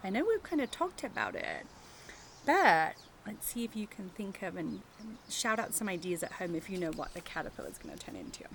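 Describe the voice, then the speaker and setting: A woman teacher doing an animated read-aloud of a picture book for young children, chatting and asking them questions as she goes. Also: mid-range voice, fairly quick, vocal fry, slight background noise. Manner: engaging the children with questions and tag questions.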